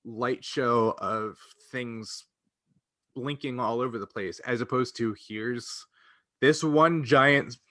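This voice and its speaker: A man's voice: mid-range voice, slow pace, pushed and bright, no echo. A man co-hosting a casual fan discussion, speaking earnestly and often rephrasing himself mid-thought. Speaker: podcast co-host.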